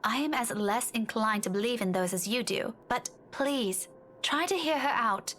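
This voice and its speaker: A high-pitched, earnest young woman, played by a voice actress in a visual novel game. She speaks haltingly, pleading and full of concern, over noisy ambience. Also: fairly bright tone, lightly acted. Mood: hesitant, uneasy.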